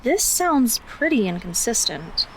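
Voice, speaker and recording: A blunt teenage girl in an audio drama, acted by a woman. She speaks slowly in a slightly high voice with a sardonic, questioning edge. Noise is clearly audible behind her. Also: big pitch swings, fairly bright tone, rough recording.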